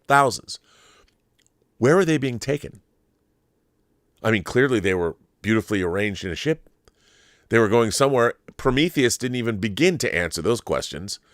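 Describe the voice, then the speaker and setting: Male pop culture host, middle-aged, lowish voice, emphatic off-the-cuff monologue, close dry recording. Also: fairly bright tone, no room sound. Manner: emphatic, repeats words for emphasis, rambling. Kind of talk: casual opinionated monologue answering viewer questions.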